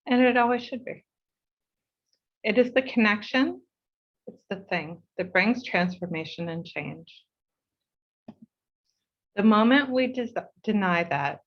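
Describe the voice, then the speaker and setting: A woman's voice: mid-range voice, dull audio. Heartfelt New woman reading a speech. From United States. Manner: measured and heartfelt, slowing for emphasis. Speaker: board chair. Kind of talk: reading a prepared speech at an online meeting.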